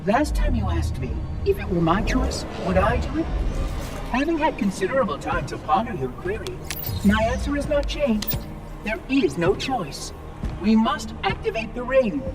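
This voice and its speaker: A haughty robotic caretaker from a sci-fi game, formal and fussy. The voice swings widely in pitch and sits back from the mic, with prominent music behind it. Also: male voice, very animated, moderate pace, distant mic, rough recording. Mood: insistent, menacing. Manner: pompous, formal, wordy, affronted. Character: fussy, haughty floating AI machine caretaker of a ring installation. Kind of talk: cinematic video game acting.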